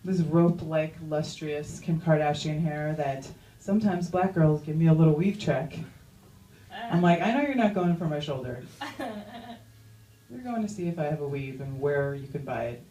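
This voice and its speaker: A woman's voice: low voice, moderate pace, warm tone, echoey room, recorded from a distance, dull audio, a little hiss or hum. A young woman doing a stand-up comedy set in a chatty, self-mocking way, full of "like" asides. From Iranian-American. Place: comedy club stage.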